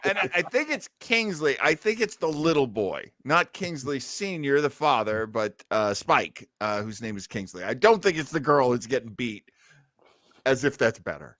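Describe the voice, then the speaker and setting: A man's voice: medium pitch, very animated, normal speed, fairly bright tone, no room sound, studio quality. A man hosting a podcast, giving casual, irreverent comic commentary on old radio with a wry, joking manner. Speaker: podcast host.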